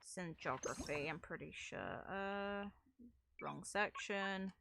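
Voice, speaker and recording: A woman's voice: on the low side, slow pace, gravelly, noisy background. A woman giving casual commentary on game lore, thinking aloud in a rambling, musing way. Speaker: gaming video creator and streamer. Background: music.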